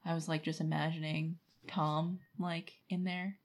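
A woman's voice: low-pitched, flat delivery, unhurried. A woman co-hosting a podcast, recapping a book in casual chat and slipping into paraphrased quotes from its characters. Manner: acts out characters' lines in paraphrase. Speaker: podcast co-host.

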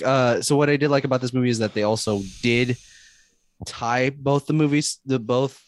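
A man hosting a casual podcast, chatting about a movie in a relaxed, joking way. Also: mid-range voice, dry recording. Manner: relaxed, joking, leads the segments. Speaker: podcast host.

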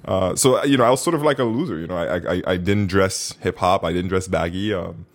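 A man from Haiti telling his life story as a podcast guest, relaxed and self-mocking, with many fillers. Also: low-pitched, fast. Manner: relaxed storytelling, frequent fillers, bursts of laughter. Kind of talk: casual interview.

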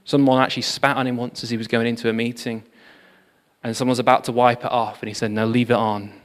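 A man giving a sermon, telling revival history in an animated storytelling manner with frequent fillers. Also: medium pitch, fast. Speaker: preacher. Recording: long hall reverb.

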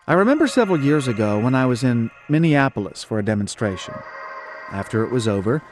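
A man narrating a scripted first-person audiobook in a measured, explanatory way. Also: medium pitch, darkish tone.

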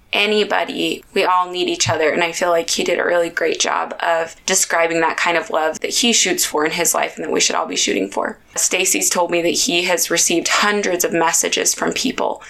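A woman hosting a podcast in upbeat, chatty talk, a little hoarse and raspy from a sore throat. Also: fairly low pitch, a bit bright, poor audio. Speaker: podcast host. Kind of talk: casual podcast chat.

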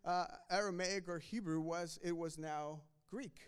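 A man's voice: sits high, fairly even intonation, a little slow, clear, resonant tone. A man from Mexico, preaching a sermon in a conversational way, often restarting and repeating words. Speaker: pastor.

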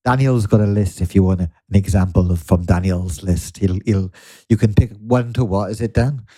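Mellow-voiced Welsh man. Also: sits low, normal speed, slightly rough. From Wales. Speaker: podcast host. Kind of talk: casual chatty interview.

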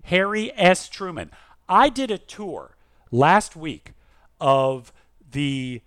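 A man's voice: fairly high pitch, sing-song, slow, fairly bright tone, smooth, dry recording, studio quality. A man co-hosting a podcast, reading listener mail and chatting in a theatrical, emphatic, jokey way. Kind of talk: lively casual podcast chat and letter reading.

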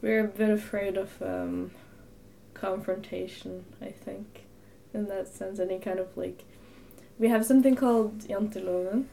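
A woman's voice: mid-range voice, steady tone, unhurried, vocal fry, dark tone, a little hiss or hum. A young Norwegian woman co-hosting a casual podcast chat, talkative, often laughing, with lots of little fillers. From Norway. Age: young adult. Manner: chatty, laughs easily, frequent fillers. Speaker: podcast co-host.

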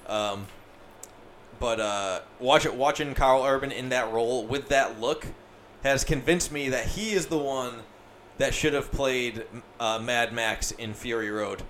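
A man's voice: mid-range voice, measured pace, a bit bright, slight background noise. A man hosting a podcast in casual chat, rambling, swearing freely, with many fillers. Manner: profane, rambling, filler-heavy. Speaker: podcast host.

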